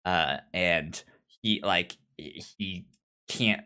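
A man's voice: low-pitched, extremely slow, bright, forceful tone, clear, resonant tone. A man co-hosting a podcast in casual discussion of comics, often repeating and restarting his words as he goes. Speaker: podcast co-host.

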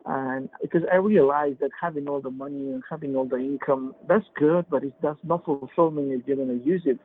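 A man telling his personal story in a halting way, full of fillers and restarts. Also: mid-range voice. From Peru. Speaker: podcast guest. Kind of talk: casual testimony. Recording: tinny phone-like remote line.

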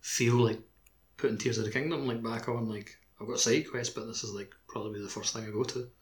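A man's voice: medium pitch, fairly even intonation, fairly quick. A man co-hosting a gaming podcast, talking casually. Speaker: podcast co-host.

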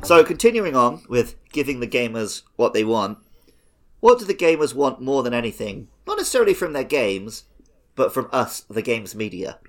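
A man's voice: mid-range voice, very animated, moderate pace, faint music behind. A man hosting a comedy game show podcast in a casual, playfully strict and teasing way. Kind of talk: casual comic quizmastering.

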